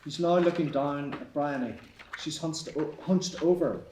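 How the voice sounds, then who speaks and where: A man reading stage directions aloud at a live table read, even and matter-of-fact, in an echoey theatre. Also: on the high side, unhurried, a little hiss or hum. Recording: echoey hall.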